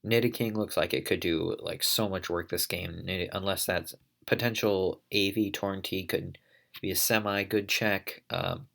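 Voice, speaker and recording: A man's voice: low-pitched, fairly even intonation, measured pace, vocal fry, a bit bright. A man commentating a competitive online battle in a casual play-by-play, congested from allergies, coughing and thinking aloud as he goes. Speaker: league commentator.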